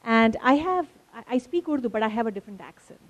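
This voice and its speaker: A woman's voice: fairly high pitch, expressive, fast talker. Woman recounting experiences. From India. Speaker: filmmaker, interview guest. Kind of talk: conversational storytelling in a panel interview.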